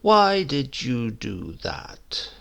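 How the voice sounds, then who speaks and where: A man voicing a smooth-talking older uncle, a secret poisoner with sly, drawn-out politeness, in a storybook reading. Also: medium pitch, huge pitch swings, a bit bright, full character voice. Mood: wary, thoughtful. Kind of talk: acted dialogue in a storybook reading.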